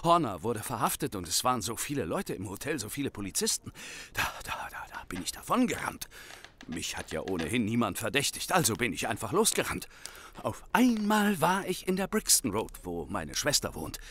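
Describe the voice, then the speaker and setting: An actor playing a nervous, desperate young thief in a radio play, anxious, stammering and breathlessly pleading. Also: male voice, medium pitch, sing-song, fairly quick, fairly bright tone, slight background noise. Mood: shaken, confessing. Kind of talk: German radio play acting.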